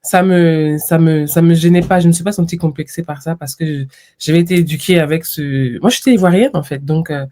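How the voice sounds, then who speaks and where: A woman from France, a podcast guest talking reflectively in a conversational interview, with lively quoted asides. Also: deep voice, fairly quick.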